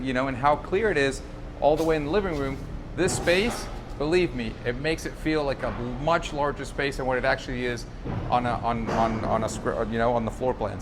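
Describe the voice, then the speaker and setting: A man from Chile giving a casual, emphatic property tour as the developer, often repeating and restarting his phrases. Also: on the high side. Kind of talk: casual walk-through sales pitch. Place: walking outdoors on a city street and at a building site. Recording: outdoor street noise with traffic.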